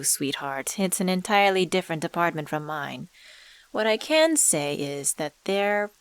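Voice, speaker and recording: An actress plays an enigmatic female stranger with a fairly low voice, recorded close and dry. She is a smooth-talking supernatural dealmaker from an ASMR audio drama. Her delivery is deliberate, coaxing and teasing. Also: no echo, lightly acted. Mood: patient, reassuring. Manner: coaxing, teasing, deliberate, calls listener sweetheart. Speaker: voice actor in a scripted audio drama.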